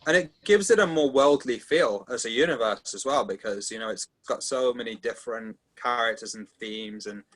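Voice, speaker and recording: A man's voice: mid-range voice, normal speed, hardly any pauses, fairly bright tone. Man giving tentative, polite feedback. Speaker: table read participant giving notes. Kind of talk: casual feedback discussion.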